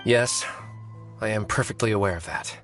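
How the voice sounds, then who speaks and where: A man voicing a weary, composed novelist and confessed avenger in a video game, speaking politely in measured, articulate phrases. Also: lowish voice, close to an everyday voice. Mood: calm, resigned. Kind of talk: dramatic video game voice acting. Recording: music behind the voice.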